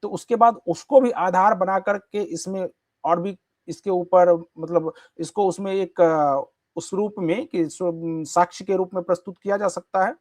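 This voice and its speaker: Male news host w high voice, long roundabout questions, dry close sound. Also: fairly even intonation, unhurried, a little mellow, no room sound. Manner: long winding questions with careful qualifications. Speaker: news show host, interviewer. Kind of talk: live news interview questioning.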